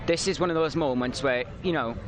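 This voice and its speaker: Quick-talking Welsh teenage boy, crowd behind. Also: on the high side. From Wales. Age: teens. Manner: earnest, rambling, quick-talking. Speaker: interview guest. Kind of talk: live street interview. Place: outdoors at a downtown riverfront. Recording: outdoor street with a loud crowd behind.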